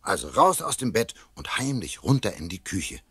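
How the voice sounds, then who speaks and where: A man narrating a children's story in a chatty way, addressing young listeners directly, with a husky voice. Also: low-pitched, big pitch swings, moderate pace, fairly bright tone, dry recording, studio quality, exaggerated voice.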